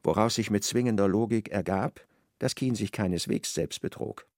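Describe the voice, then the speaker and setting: A man narrating a literary radio drama in a measured, precise, dry manner. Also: lowish voice, a little mellow, dry recording.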